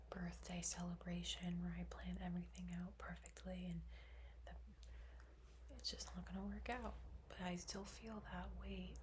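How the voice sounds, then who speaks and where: Young woman, soft rambling whisper, flat low tone, faint hiss behind. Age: 20s. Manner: soft unvoiced whisper, rambling with many fillers. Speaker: ASMR creator. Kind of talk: whispered ASMR musing. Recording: faint background noise.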